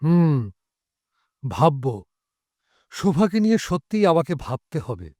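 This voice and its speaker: A man's voice: on the high side, very animated, slow, a little mellow, dry recording. A man playing a worried, simple village father in an audio drama. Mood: startled, pensive. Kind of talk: Bengali audio drama acting.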